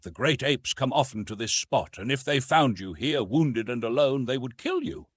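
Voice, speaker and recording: Proud young ape-raised jungle hero played by a male narrator, solemn storybook delivery, big pitch swings, slightly husky. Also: animated, normal speed, dry recording. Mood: earnest.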